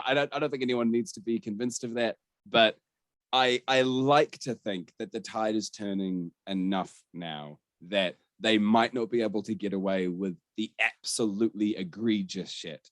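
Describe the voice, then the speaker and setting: Low-pitched man hosting a podcast, conversational. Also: moderate pace, no room sound, studio quality. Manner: conversational, candid, sweary. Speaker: podcast host. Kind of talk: casual news discussion on a gaming podcast.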